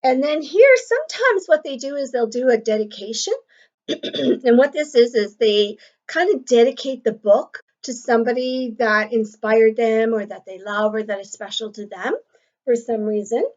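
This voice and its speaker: A woman's voice: a little high, animated, normal speed. A woman teacher reading a picture book aloud to children, explaining simply and checking in with questions, on slightly dull audio.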